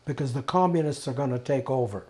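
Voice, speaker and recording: An elderly man from Czechoslovakia giving personal testimony, telling his story deliberately in short, emphatic phrases with pauses. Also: mid-range voice, darkish tone. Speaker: interviewee, Holocaust survivor giving testimony. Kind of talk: personal oral history testimony.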